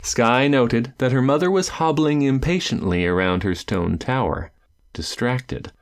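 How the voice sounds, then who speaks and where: A man reading an audiobook aloud, narrating with measured, expressive pacing and dramatic pauses. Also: medium pitch.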